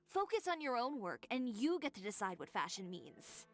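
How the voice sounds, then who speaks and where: A woman's voice: fairly high pitch, very animated, normal speed, soft background music. An actress playing a proud, determined young female fashion designer in a game, speaking in a firm, cool, lecturing way. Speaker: game character.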